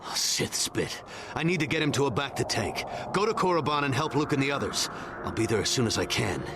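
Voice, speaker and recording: A man's voice: medium pitch, lively intonation, brisk, very noisy, lightly acted. An actor playing a caring male Jedi mentor in a video game, serious, plain-spoken and reassuring. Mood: concerned. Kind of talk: dramatic video game cutscene acting. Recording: loud music over the voice.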